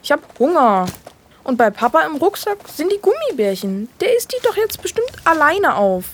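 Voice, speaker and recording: A woman's voice: sits high, very animated, normal speed, a little hiss or hum. A woman playing a whiny, sceptical little brother in an audio drama. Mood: whining. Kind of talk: German audio drama acting.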